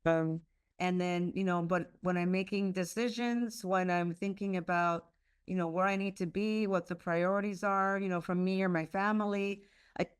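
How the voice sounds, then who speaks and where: A woman's voice: fairly low pitch, steady tone, normal speed, clear, resonant tone. A woman who grew up speaking Spanish, answering interview questions earnestly over a video call, with many fillers and restarts. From United States. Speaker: leader of a leadership institute, guest.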